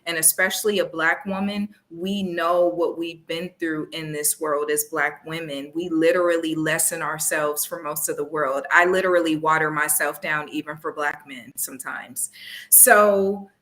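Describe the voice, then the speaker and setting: A woman's voice: low-pitched, fairly even intonation, normal speed, a bit bright. A woman co-hosting a podcast, talking in a blunt, emphatic way and cursing freely. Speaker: podcast co-host. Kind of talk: casual chat.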